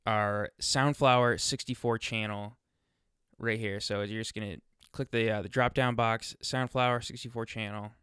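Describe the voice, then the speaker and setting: Smooth, low-leaning male tutorial voice. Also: steady tone, normal speed, fairly bright tone, no echo, clean studio sound. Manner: informal, chatty, thinking aloud while demonstrating. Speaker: tutorial presenter. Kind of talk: casual screen-recorded software walkthrough. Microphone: close USB microphone.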